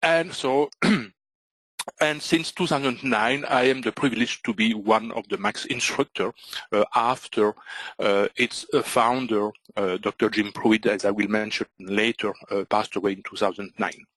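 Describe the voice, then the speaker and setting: A man from Belgium with a Belgian French accent, presenting a trading webinar in halting English with many "uh" and "so" fillers. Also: lowish voice, fairly even intonation, a bit bright, no echo, rough recording. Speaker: trading instructor.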